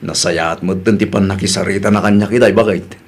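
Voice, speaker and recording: A man's voice: low voice, steady tone, fairly quick. A man playing a helpful, respectful young villager in an Ilocano radio drama, easygoing, with a Filipino Ilocano accent. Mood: casual.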